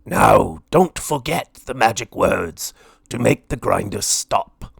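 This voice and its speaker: A man voicing a gigantic, kindly male dragon in a children's storybook, speaking slowly and deliberately with gentle solemnity. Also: lowish voice, animated, gravelly, slightly rough, no room sound, clean studio sound, full character voice. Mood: grateful, kindly. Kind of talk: children's storybook read-aloud.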